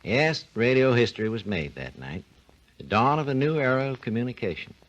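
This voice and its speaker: A man, a research engineer and guest, explains his subject in a measured, lecturing way on a scripted 1940s radio talk program. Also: medium pitch, very animated, muffled recording.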